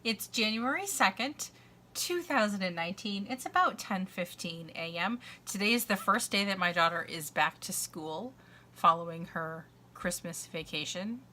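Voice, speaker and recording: A woman's voice: mid-range voice, animated, moderate pace, pushed and bright. A middle-aged woman vlogging from home in a long, rambling casual chat, congested and fighting a dry cough, with many uhs and restarts. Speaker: crafter and vlogger. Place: at home, indoors.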